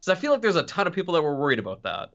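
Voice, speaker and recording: A man's voice: on the high side, huge pitch swings, very fast, fairly bright tone. A man asking casual questions as a podcast panelist, on a slightly dull microphone.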